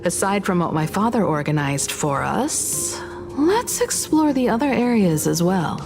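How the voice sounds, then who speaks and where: A composed young female investigator in a video game. She speaks in a slightly low register, methodical and serious. Music plays clearly behind her.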